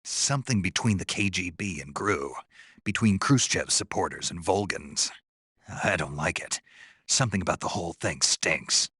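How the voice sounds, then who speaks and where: A gruff American covert soldier from a video game, very low and husky. He is terse and earnest, with a deadpan naivety, yet his pitch moves in lively swings. The sound is dry and close. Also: moderate pace, dry recording, full character voice. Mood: gruff, serious. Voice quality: gravelly, husky. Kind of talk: video game voice acting, radio dialogue.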